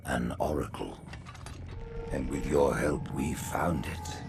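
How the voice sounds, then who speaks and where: Game role: elderly alien high priest, imperious, very low and creaky, swooping delivery, ambient effects behind. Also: male voice, slow pace, over music, full character voice. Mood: solemn, grand. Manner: theatrical, haughty, breaking into whispers. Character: scheming old male alien hierarch, imperious. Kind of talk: cinematic game voice acting.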